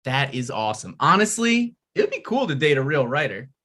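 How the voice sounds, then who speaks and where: A man's voice: a little high, big pitch swings, fairly quick, a bit bright. A man reading a needy, self-deprecating young screenwriter in a comedic table read, with eager, pleading comic delivery. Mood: hopeful.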